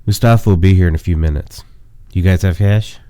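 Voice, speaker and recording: A man's voice: low voice, brisk, mellow, clean tone, no echo, close to an everyday voice. A man with a stuffy, nasal cold voicing an easygoing male bar owner in a story he reads aloud. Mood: casual.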